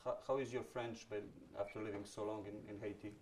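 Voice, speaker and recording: Male onstage moderator, low-ish voice, chatty with false starts, faint room ambience. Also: flat delivery, brisk, a little mellow, a little hiss or hum. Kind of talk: onstage masterclass conversation.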